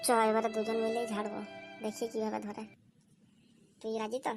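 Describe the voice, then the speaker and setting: A woman's voice: a little high, completely flat delivery, brisk, creaky, over music. A woman voicing a cheeky, hungry, scheming young boy in a comic cartoon, pleading and sly. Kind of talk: amateur comic cartoon dubbing. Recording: faint background noise.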